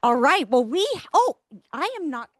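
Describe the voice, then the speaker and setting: Lively upbeat woman running the team meeting, dry sound. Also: sits high, measured pace, no echo. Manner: upbeat and encouraging. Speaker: meeting leader.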